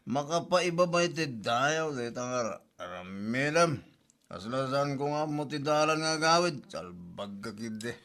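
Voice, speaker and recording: A man's voice: mid-range voice, animated, measured pace, fairly bright tone, slight background noise. A man playing a hard-drinking, feckless husband in a comedy drama, with an Ilocano accent. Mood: muttering. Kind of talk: comedy drama podcast acting. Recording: background music behind the dialogue.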